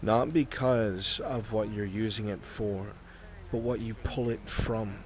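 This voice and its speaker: A man playing a grave, cautioning scholar of dangerous magic in a fantasy roleplay, speaking in solemn, formal phrases with deliberate pauses. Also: lowish voice, fairly even intonation. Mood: solemn warning. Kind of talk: improvised fantasy roleplay acting.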